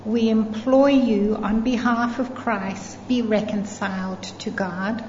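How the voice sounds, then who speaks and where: A woman reading a scripture passage aloud, careful and unhurried. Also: medium pitch, fairly even intonation, a little mellow, a little hiss or hum. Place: church hall. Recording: echoey hall, dull sound, faint ambience.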